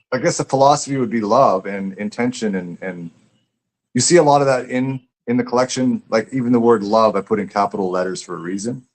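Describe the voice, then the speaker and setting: A man's voice: medium pitch, poor audio. A man talking casually and reflectively as a guest author, earnest and repeating words as he thinks aloud. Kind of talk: casual, reflective interview chat.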